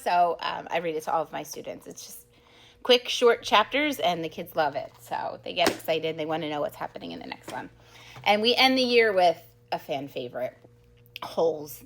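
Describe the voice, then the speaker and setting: A woman's voice: medium pitch, fairly bright tone. A middle-aged woman, an enthusiastic teacher, giving a casual, giggly book talk to camera. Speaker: second grade teacher presenting book recommendations.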